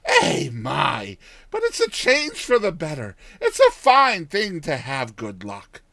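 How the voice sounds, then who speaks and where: A man's voice: a little high, huge pitch swings, slow, vocal fry, pushed and bright, full character voice. A man voicing a cheery, ever-optimistic old countrywoman from a storybook, exclaiming in folksy rustic speech. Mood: delighted.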